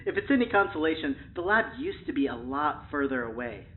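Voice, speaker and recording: A man's voice: a little high, very animated, fairly quick. A man playing a polite, awkwardly chatty possible AI in an audio drama, speaking carefully through a speaker filter. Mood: friendly, awkward. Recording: thin phone-like band, faint noise.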